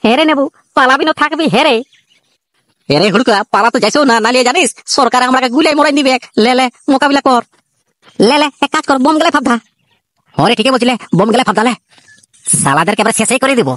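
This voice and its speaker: A voice artist voicing two jittery young male soldiers in a comic cartoon, with a Purulia Bengali accent and rapid, chatty banter. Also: sits high, expressive, a bit bright, no echo. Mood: panicky.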